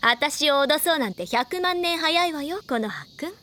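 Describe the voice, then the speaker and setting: A woman playing a haughty, self-assured high school senior girl who teases with sadistic relish in an audio drama. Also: animated, moderate pace, sharp tone, a little hiss or hum. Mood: gleefully teasing. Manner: self-assured, teasing, feminine speech. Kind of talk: Japanese audio drama acting.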